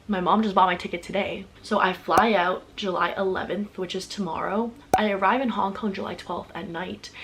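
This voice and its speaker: A woman's voice: mid-range voice, a bit bright, a little hiss or hum, low-quality recording. Chatty young woman vlogger. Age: young adult. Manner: chatty, talks straight to viewers, stacks repeated words for emphasis. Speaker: vlogger and athlete. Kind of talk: casual story-time vlog.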